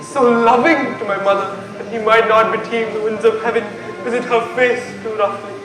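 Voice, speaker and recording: A young man playing a bitter, grieving prince in school stage Shakespeare, with brooding, drawn-out delivery. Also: sits high, steady tone, lightly acted. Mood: bitter grief. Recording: very echoey noisy stage, distant mic.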